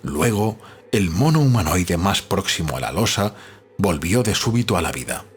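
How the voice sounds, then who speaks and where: A man reading a novel aloud as a narrator, in a measured, solemn storytelling manner. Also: deep voice, sing-song, husky. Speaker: audiobook narrator. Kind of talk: scripted literary narration of a novel.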